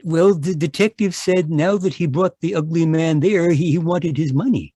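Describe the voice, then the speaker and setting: An actor playing a timid, anxious runaway husband in an old-time radio drama, stammering and hesitant. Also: male voice, on the high side, moderate pace, mellow, breathy edge, dry recording, studio quality. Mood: nervous. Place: live online performance over video call.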